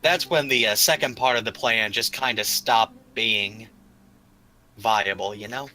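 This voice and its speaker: Casual male tabletop player, sharp bright tone, joking and loose, faint background music. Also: fairly low pitch, measured pace, low-quality recording. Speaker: player in a tabletop roleplaying game. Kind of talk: casual chat. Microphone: remote voice call microphone. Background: background guitar music.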